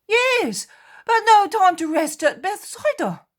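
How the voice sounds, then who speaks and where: A woman voicing Peter, an impulsive and fiercely loyal adult male fisherman disciple who speaks loudly and emphatically. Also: very high-pitched, huge pitch swings, measured pace, pitched above the performer's own voice, full character voice. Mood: weary. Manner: loud, emphatic, raised and heated.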